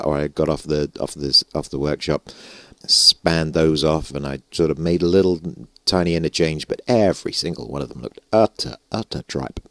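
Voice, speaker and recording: British male gamer, hoarse and gravelly, very low, self-mocking rambler, dry sound. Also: dry recording. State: hoarse, gravelly voice after losing it. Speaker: gaming YouTuber. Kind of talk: casual let's-play commentary. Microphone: stage vocal mic close up.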